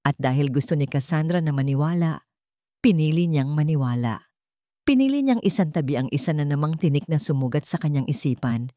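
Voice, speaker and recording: A woman's voice: low voice, animated, a little fast, mellow, no echo, studio quality. A woman narrator reading a scripted romance story in a dramatic storytelling style.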